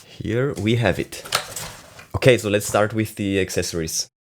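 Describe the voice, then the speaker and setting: Male tech reviewer, lower register, casual and enthusiastic, given to exclaiming. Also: moderate pace, noisy background. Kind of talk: casual unboxing and review talk.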